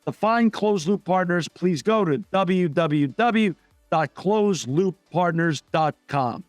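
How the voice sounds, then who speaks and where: A man's voice: high-pitched, lively intonation, slow, no echo, faint music behind. A middle-aged man hosting an interview podcast, reading the sponsor spots and welcoming his guest with enthusiasm. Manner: enthusiastic, effusive. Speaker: podcast host. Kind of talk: podcast hosting and read ads.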